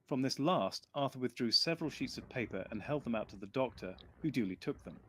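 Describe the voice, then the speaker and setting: A man's voice: medium pitch, husky. Male storyteller reading an audiobook. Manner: measured storytelling with lively character voices. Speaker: narrator.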